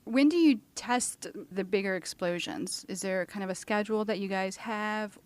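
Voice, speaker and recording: A woman's voice: medium pitch, normal speed, clean tone. A woman working as an interviewer, putting questions in a conversational style. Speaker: interviewer, video journalist.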